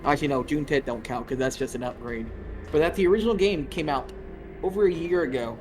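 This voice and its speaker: A man in his thirties streaming a game and chatting with friends, excitable and quick to swear and laugh. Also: a little high. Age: 30s. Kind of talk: casual gaming stream chat. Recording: game noise clearly behind.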